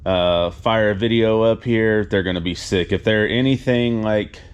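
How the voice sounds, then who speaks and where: Deep voice dude walking thru his truck build in the garage, real casual, kinda self-deprecating. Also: unhurried, clean tone, dull audio. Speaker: car builder hosting a shop update. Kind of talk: casual vlog talk while showing truck parts. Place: indoor vehicle workshop garage.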